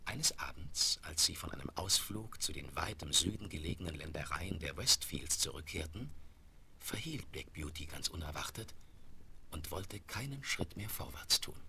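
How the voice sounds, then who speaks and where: A male storyteller with an extremely deep, creaky voice narrates a children's radio play. His delivery is scripted and lively in melody. It comes from a crackly seventies vinyl record. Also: moderate pace, heavily acted. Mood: measured storytelling.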